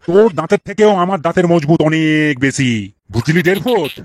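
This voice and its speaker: A man voicing a hot-tempered old village uncle in a cartoon, gruff and scolding. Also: on the high side, fairly quick, slight background noise, natural voice. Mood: scornful. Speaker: cartoon character.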